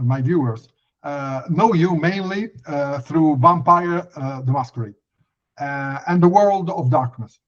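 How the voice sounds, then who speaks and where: Male podcast host, Italian accent, slightly high pitch, deliberate halting delivery, dull recording. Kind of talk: podcast interview with prepared introduction. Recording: dull, lo-fi microphone sound.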